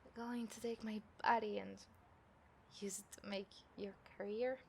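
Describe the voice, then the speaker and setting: A woman playing a taunting, smug serial killer in an audio roleplay, speaking deliberately and theatrically with breathy drops toward a whisper. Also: medium pitch, fairly even intonation. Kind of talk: scripted audio roleplay addressed to the listener. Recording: clear background noise, city ambience effects.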